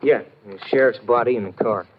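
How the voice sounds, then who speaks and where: A man's voice: mid-range voice, a little fast, close to an everyday voice. An actor playing a skeptical small-town Texas lawman with an American accent in a 1950s radio drama. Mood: matter-of-fact. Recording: muffled, noisy old radio recording with clicks.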